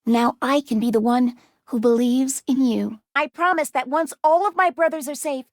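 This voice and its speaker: A woman's voice: sits high, moderate pace, no room sound, clean studio sound. An actress voicing a timid, earnest, bookish young female robot in a video game, with polite, formal and precise diction. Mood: soft, subdued. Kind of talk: video game character voice lines.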